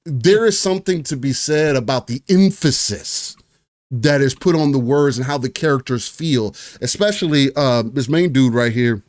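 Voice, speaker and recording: A man chatting about games on a podcast, his voice mid-pitched and ordinary. He rambles, restarts sentences and fills gaps with ums. The sound is dry and close, with no room around it. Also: moderate pace, no room sound. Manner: rambling, many fillers and restarts. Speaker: podcast host. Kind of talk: casual gaming chat.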